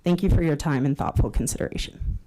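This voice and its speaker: A woman finishes her prepared comment at a council meeting in a composed, formal manner. Her voice is mellow and dark-toned, and she delivers it evenly. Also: low-pitched, quick pace. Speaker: public commenter. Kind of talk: reading a prepared public comment.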